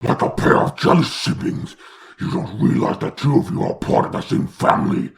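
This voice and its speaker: A man voicing a grandiose, taunting demon lord in a comic dub, with a gravelly, theatrical delivery. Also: moderate pace, slight background noise, natural voice. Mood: scolding, domineering. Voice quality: gravelly, creaky. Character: grandiose demon lord, menacing mocking father of hellspawn.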